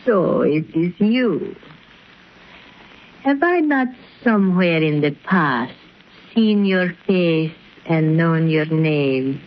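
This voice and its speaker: A cool, menacing dancer villainess from a 1930s radio thriller. She speaks very slowly and formally in a fairly low voice. The recording is old and muffled. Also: expressive. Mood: defiant. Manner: formal, deliberate phrasing. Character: cool, veiled-threatening exotic female dancer and villainess. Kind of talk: 1930s radio drama acting.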